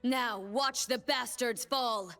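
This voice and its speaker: A woman's voice: fairly high pitch, takes it slowly, pushed and bright, clean tone, soft background music, exaggerated voice. A woman playing a determined, stern young warrior leader in a video game, speaking in solemn, commanding, clipped declarations. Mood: grim, defiant. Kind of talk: video game character voice lines.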